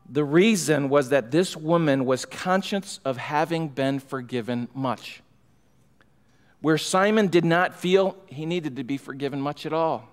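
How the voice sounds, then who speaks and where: Middle-aged pastor preaching in a reverberant sanctuary. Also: male voice, fairly high pitch. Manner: emphatic, rising in fervor, gestures while preaching. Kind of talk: expository sermon. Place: church sanctuary, large room indoors. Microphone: pulpit microphone. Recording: long hall reverb.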